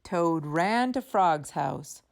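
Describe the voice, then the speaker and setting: Very slow, animated woman reading to children. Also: on the low side, vocal fry. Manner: deliberate, expressive. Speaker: storyteller. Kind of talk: children's read-aloud narration.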